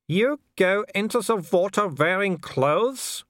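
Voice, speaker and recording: A man's voice: high-pitched, animated, slow, dry recording, clean studio sound, voice pushed up. A man voicing a menacing, haughty werewolf aristocrat who taunts in formal, grandly scornful phrases in an audiobook story. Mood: scornful anger. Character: haughty, menacing male werewolf pack leader, foreign aristocrat.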